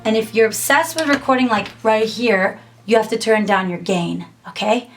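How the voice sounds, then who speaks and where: A young woman talks through a beginner tutorial in a small home studio. Her voice sits a little high, her delivery is chatty and upbeat, and faint music plays underneath. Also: moderate pace. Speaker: musician and YouTuber. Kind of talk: casual tutorial to camera.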